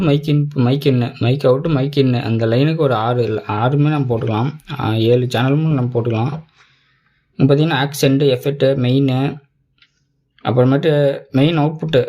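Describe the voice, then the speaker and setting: A man's voice: medium pitch, dark tone. A man with a Tamil Nadu Tamil accent giving a casual product demo as a tech reviewer, explaining step by step in colloquial, repetitive phrases. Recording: clear background noise, clicks.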